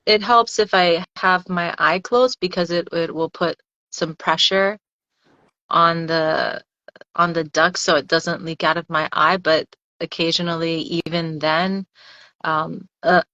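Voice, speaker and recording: A woman, a freediver guest on a casual podcast interview, explaining things thoughtfully and haltingly, often repeating words. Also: sits low, fairly even intonation, pushed and bright, no room sound, highs cut off.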